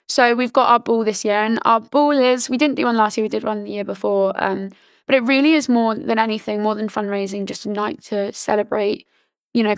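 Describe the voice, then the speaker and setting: A woman in her early twenties from Britain, chatting casually as a podcast guest, full of "like" fillers and restarts, her voice slightly hoarse. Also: mid-range voice, dry recording. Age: early 20s. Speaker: podcast guest, charity founder. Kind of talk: casual interview chat.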